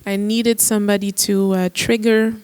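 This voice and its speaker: A woman's voice: medium pitch, little pitch movement, normal speed, darkish tone, smooth, dry recording. A woman musician on a discussion panel, speaking earnestly and restarting her phrases as she thinks. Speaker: musician guest.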